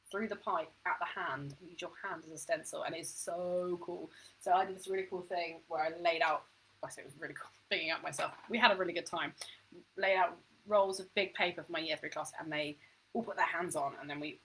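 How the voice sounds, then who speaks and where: A chatty woman teaching to camera in a relaxed, self-deprecating way, often thinking aloud. Her voice sits a little low in pitch but comes across bright and forceful. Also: low-quality recording. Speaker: home education teacher.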